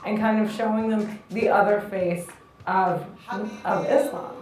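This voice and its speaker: A woman's voice: medium pitch, fairly even intonation, measured pace, a little mellow, soft background music. A woman speaks in a reflective, earnest way. Her voice sounds distant and carries a strong echo. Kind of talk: documentary interview.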